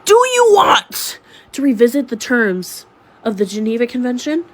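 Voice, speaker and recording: A young woman sounds stuffed-up from a cold. She tells her story in an animated, sarcastic way and leans into the drama. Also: a little high, moderate pace. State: sick, congested. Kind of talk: storytime vlog.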